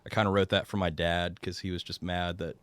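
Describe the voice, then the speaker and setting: A man in his thirties from the Dallas suburbs with a low voice, a singer-songwriter guest chatting easily and poking fun at himself. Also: flat delivery, fairly quick. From suburbs of Dallas, Texas. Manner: easygoing, self-deprecating, many fillers. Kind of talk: casual conversation.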